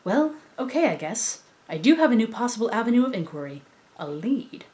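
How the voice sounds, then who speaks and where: Woman as a skeptical, high-voiced android sidekick. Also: very animated, fairly quick, dark tone. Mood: reluctant, businesslike. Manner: practical, mildly exasperated. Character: sensible, skeptical female android partner of a detective.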